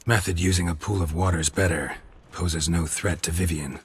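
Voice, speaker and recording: An actor playing a stoic, world-weary monster hunter in a video game, speaking in terse, deadpan lines with a gravelly, husky voice. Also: male voice, deep voice, normal speed, slight background noise, close to an everyday voice. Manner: terse, deadpan, clipped sentences.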